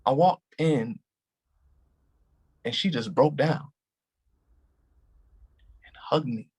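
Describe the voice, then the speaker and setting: Brief: husky, mid-pitched actor playing a gentle cop, measured and steady. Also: male voice. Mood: reflective. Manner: calm, measured, gently reflective storytelling. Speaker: actor in a staged play reading. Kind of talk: staged play reading.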